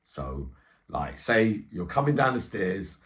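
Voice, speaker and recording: A man's voice: low-pitched, very animated, takes it slowly, a bit bright, slight background noise. A middle-aged man telling an eyewitness story informally, in streetwise slang with frequent check-in tags. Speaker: storyteller and channel host.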